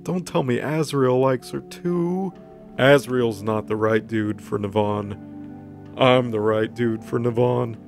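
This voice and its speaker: Man playing a gravelly, deluded lovesick would-be hero. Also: medium pitch, slow pace. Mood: obsessive, boastful. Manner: self-justifying, melodramatic. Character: obsessive, deluded lovesick male illusionist, self-styled hero. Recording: music under the voice.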